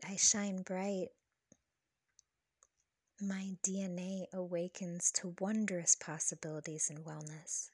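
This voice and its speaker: A woman leading a scripted guided meditation with affirmations, speaking in a soft, breathy, soothing and measured way. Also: medium pitch, no echo, studio quality. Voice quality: soft, breathy, partly whispery. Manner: soothing, slow, measured delivery.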